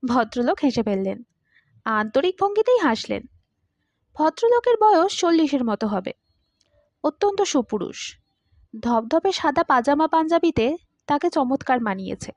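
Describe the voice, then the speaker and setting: A woman narrating a literary short story in an expressive audiobook style. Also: sits high, moderate pace, pauses often, no room sound.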